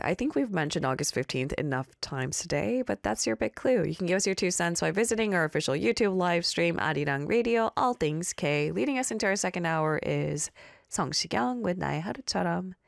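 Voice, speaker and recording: An American woman in her thirties hosting a radio show, bubbly and quick to laugh. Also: on the low side, vocal fry, dry recording, studio quality. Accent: American English. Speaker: radio host. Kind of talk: casual radio chat.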